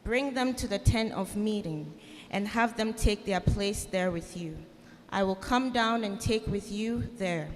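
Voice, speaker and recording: A woman's voice: mid-range voice, lightly acted. A woman reading scripture dramatically in church as God, a stern, commanding and angry authority. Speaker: scripture reader voicing God. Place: large church indoors. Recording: reverberant church hall.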